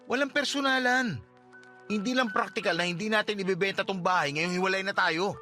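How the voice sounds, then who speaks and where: A fed-up husband in a radio drama, his voice high and sharp. He is curt and cold, pushing to be done with his marriage, over faint music. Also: brisk. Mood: impatient. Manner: curt, exasperated. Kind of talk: radio drama dialogue in Tagalog.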